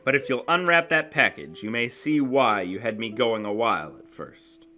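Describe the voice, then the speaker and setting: A man voicing a gently devoted, somewhat dazed young husband in a dramatic story reading. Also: mid-range voice, lively intonation, moderate pace, soft background music. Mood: reassuring.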